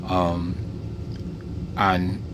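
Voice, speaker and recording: A man's voice: low voice, completely flat delivery, drawn-out words, very noisy. A man giving a casual, unscripted hands-on commentary as a radio hobbyist demonstrating gear indoors at home.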